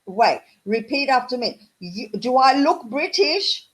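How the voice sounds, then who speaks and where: A woman's voice: high-pitched, sing-song, a little slow, rough recording. A woman with an Australian accent, tutoring English over a video call in a patient, encouraging way that draws out questions. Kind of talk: online one-on-one conversation lesson.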